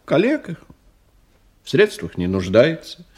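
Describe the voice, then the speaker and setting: A man's voice: on the high side, wildly swooping pitch, measured pace, darkish tone, slightly rough, full character voice. An actor playing a testy man in his fifties who reports formally to his superior in a radio drama, with irritable muttered asides. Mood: businesslike. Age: 50s. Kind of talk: Russian radio drama acting.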